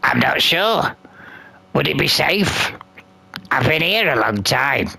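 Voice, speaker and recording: A woman's voice: extremely low pitch, big pitch swings, takes it slowly, creaky, bright, forceful tone, husky, heavily acted. A woman playing a curious, earnest traveling companion in a fantasy visual novel, speaking a little hesitantly. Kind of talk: visual novel character dialogue.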